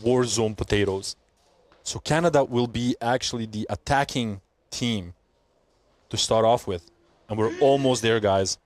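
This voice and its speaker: A young man from Belgium hosting a live sports stream, chatting with viewers and calling the play with rising excitement. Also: mid-range voice, a little slow. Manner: chatty, reads out chat messages, laughs often, excited during play. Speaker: livestream commentator. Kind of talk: casual stream chat and play-by-play commentary.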